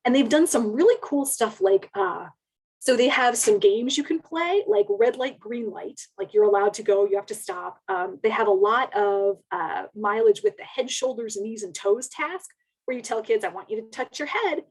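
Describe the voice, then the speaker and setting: A woman's voice: a little high, expressive, moderate pace, slightly rough. A woman speaking as an education researcher on a webinar, explaining things informally in casual wording.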